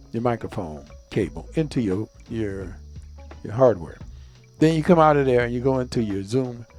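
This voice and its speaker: A man's voice: fairly low pitch, mellow. A man giving a casual step-by-step tutorial as a video creator, rambling and often repeating himself.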